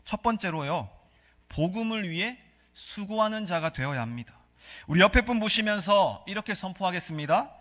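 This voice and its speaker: A man with a Gyeongsang accent preaches from the pulpit, his high voice bright and forceful. He puts questions to the congregation and leads them in reading scripture together. His words ring out through a church hall with a long, lingering reverb. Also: animated, moderate pace. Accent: Gyeongsang Korean. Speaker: pastor. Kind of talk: sermon. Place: church sanctuary.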